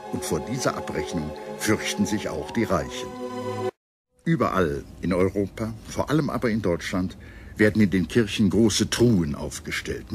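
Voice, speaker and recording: Male documentary narrator, lowish register, solemn scripted history read, measured and dramatic, light music bed.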